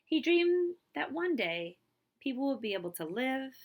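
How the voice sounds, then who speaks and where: A woman librarian reading a picture book aloud to children, with expressive, emphatic phrasing and casual asides. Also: high voice, a little slow.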